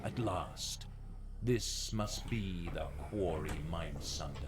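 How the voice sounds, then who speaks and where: A man's voice: low-pitched, lively intonation, takes it slowly. A man narrating a fantasy video game as a grave, storytelling dungeon master. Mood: grave, suspenseful. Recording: clear noise behind the voice.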